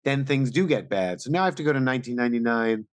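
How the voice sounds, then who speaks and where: A man's voice: medium pitch, expressive, quick pace, smooth, dry recording. Wry man chatting on a podcast, dry sound. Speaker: podcast co-host. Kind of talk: casual podcast chat.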